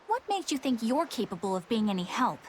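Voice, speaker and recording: A woman's voice: on the high side, sing-song, a little fast, a little hiss or hum. A woman playing a prim, serious young woman in an English game dub, earnest, proper and drily disapproving.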